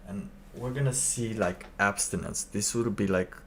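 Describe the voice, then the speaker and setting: A man live streaming, chatting casually in a halting, rambling way with lots of fillers. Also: fairly low pitch, a bit bright, slight background noise. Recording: roomy, distant mic with faint ambience.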